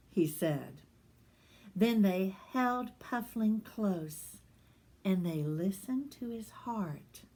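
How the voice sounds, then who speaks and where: A woman who is a reading teacher, reading a picture book aloud to children in a gentle, soft manner that slips into whispers. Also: medium pitch.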